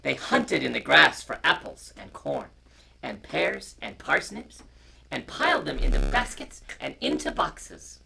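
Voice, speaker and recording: A man's voice: fairly high pitch, wildly swooping pitch, measured pace, creaky, pushed and bright. A man co-hosting a story time, chatting informally and reading a picture book aloud, on a noisy, distorted live stream. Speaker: co-host, storyteller.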